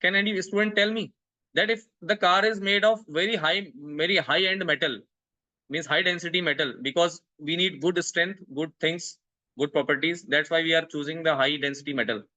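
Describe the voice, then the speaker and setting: A man from India lectures over a video call, repeating words while he reaches for the next one. His voice is bright and a little high, and the sound is dry and close. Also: normal speed, no room sound, low-quality recording. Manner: explanatory, repeats words while searching for them. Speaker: guest lecturer. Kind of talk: online lecture.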